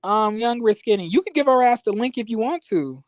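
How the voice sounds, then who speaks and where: A man with an American accent chatting casually as a livestream host, in a sassy, expressive, slangy conversational way. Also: high-pitched, brisk, no room sound, clean studio sound. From United States, born in rural Florida and raised in Las Vegas. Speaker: YouTube reviewer and livestream host. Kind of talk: casual livestream chat with viewers.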